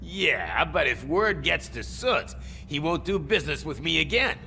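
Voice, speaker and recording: Gravelly sly crook. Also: male voice, a little high, big pitch swings, a little slow, pushed and bright, slight background noise, full character voice. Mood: sly, cooperative. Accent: American. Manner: sly, businesslike. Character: shrewd, bargaining male criminal drug distributor. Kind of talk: video game voice acting.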